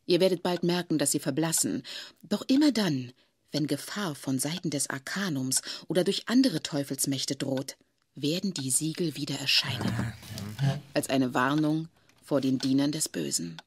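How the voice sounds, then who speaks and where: An actress playing a grave, knowing woman who explains witch lore in a measured, ominous way in an audio drama. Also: deep voice, expressive, husky, no echo. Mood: grave explaining. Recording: noisy cassette radio play recording.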